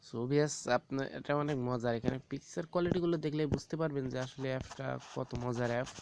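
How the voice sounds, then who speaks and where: A man gives an informal, halting step-by-step phone app tutorial, often repeating himself. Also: mid-range voice. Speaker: tech tips tutorial host. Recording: very noisy, rooster crowing and clicks behind.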